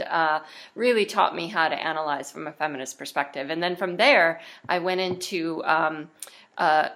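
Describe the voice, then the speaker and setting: Woman, low-pitched, bright and tense tone, halting talker with plenty of fillers, casual conversation. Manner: halting, many fillers and restarts, laughs often.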